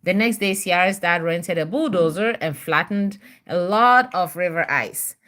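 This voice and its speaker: A woman's voice: lowish voice, measured pace, fairly bright tone. A woman, a mother, reading a story aloud to her child with simple explanations and little check-in questions.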